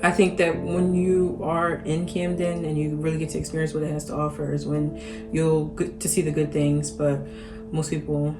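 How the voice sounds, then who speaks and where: Low-voiced woman, thoughtful and hedging, in an echoey room with music under. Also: flat delivery, moderate pace, hardly any pauses. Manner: thoughtful, hedging with frequent fillers. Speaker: Camden resident interviewee. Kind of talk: documentary interview.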